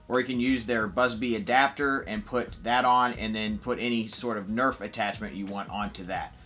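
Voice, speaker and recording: A man's voice: medium pitch, fairly bright tone. Casual man reviewing toys, music behind. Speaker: toy blaster reviewer. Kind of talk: casual product review to camera.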